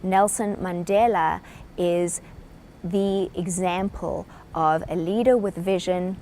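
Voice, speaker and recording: Woman, South African accent, lowish pitch, earnest explainer, faint rumble. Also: darkish tone. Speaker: presenter. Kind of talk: short talk to camera on leadership.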